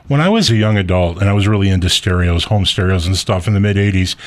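A man's voice: deep voice, fast. A man in his fifties with a scratchy throat, co-hosting a casual podcast and complaining wryly. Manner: wry, complaining. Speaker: podcast co-host. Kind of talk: casual chat.

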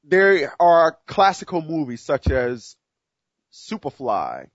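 A man's voice: on the high side, big pitch swings, slow, no room sound, muffled recording. A man in his forties, hosting a solo talk show and speaking earnestly with many restarts and fillers. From United States. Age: 40s. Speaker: show host. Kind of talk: solo monologue to listeners.

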